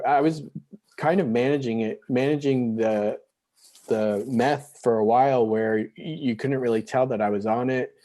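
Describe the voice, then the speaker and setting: A man in his forties telling his own life story as an interview guest, halting, with frequent restarts and fillers. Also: on the low side, dark tone. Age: 40s. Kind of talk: candid personal storytelling.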